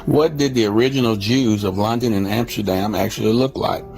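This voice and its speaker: A man giving scripted documentary narration in a measured, steady reading. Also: on the low side. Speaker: video narrator. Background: faint music.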